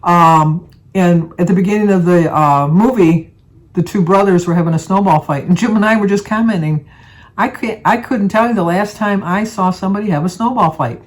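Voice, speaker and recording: A woman's voice: on the low side, normal speed, low-quality recording. A woman, chatting casually to her viewers and rambling through everyday stories. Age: 70s. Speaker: vlogger.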